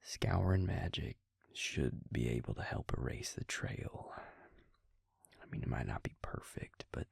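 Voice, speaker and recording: Man acting a hushed, gravelly, protective vampire. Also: lively intonation, dry recording, clean studio sound, heavily acted. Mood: exasperated, pleading. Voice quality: breathy, gravelly low murmur. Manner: hushed, halting, earnest pleading. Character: worried, protective male vampire, gruff but caring. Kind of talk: intimate audio roleplay acting.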